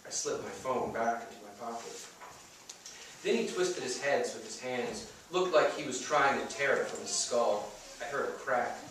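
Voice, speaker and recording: A man's voice: mid-range voice, normal speed, fairly bright tone. A man reading first-person fiction aloud as its author at a live bookstore event. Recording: echoey room, distant mic, faint noise.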